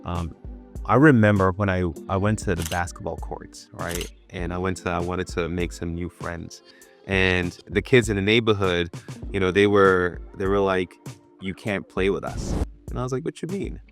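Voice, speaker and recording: A man's voice: sits low, moderate pace, soft background music. A man in his thirties from Ghana and Brooklyn, telling personal stories conversationally as an interview guest, repeating phrases for emphasis. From born in Ghana, grew up in Brooklyn, New York. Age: 30s. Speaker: venture capital partner, interview guest.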